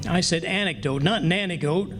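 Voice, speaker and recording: A man playing a grumbling, excitable comic husband in old-time radio comedy style, exasperated and wisecracking. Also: high voice, normal speed. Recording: noisy live stage recording with ambience.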